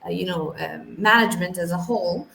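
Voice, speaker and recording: A woman's voice: lowish voice, fairly quick, vocal fry, a bit bright. A woman, an English teacher answering interview questions casually, often repeating words as she thinks. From Algeria. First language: not English; English is her third language. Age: 30s. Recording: roomy echo, faint background noise.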